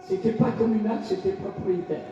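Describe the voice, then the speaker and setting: A male pastor from France delivering a fiery revival sermon, shouting in bursts and calling for responses from the congregation. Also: high voice, animated, normal speed, a little hiss or hum. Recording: echoey hall, distant lo-fi mic.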